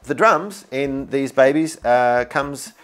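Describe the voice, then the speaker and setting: A man's voice: medium pitch, slow pace, fairly bright tone. A man presenting a product demonstration in an upbeat, enthusiastic way. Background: music, demonstrated keyboard sounds.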